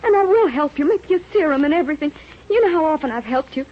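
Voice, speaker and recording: An actress playing a devoted, stubborn young wife, impassioned and insistent, in an old radio drama. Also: female voice, high voice, animated, quick pace. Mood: pleading. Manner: impassioned, insistent, stammering with feeling. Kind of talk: 1930s radio drama acting. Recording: muffled, noisy old radio recording.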